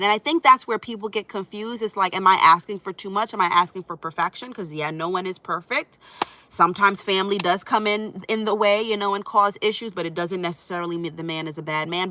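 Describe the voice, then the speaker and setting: A woman's voice: medium pitch, sharp tone, no room sound. A middle-aged woman, a relationship coach, chatting on a live stream and answering viewers' comments, a little stuffy from a cold, reassuring and conversational. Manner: reassuring, conversational, frequent "right?" and "you know".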